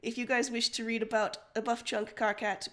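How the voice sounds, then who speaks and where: A woman with a fairly high voice co-hosts a story stream. She is giggly and playful, joking casually as one fan to another. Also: flat delivery, normal speed, fairly bright tone. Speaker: stream co-host and story reader.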